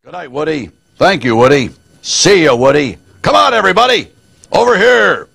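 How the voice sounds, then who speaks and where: A man playing an eager toy spaceman with a gravelly voice in an animated film. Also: on the high side, normal speed, a little hiss or hum, heavily acted. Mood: eager, urgent.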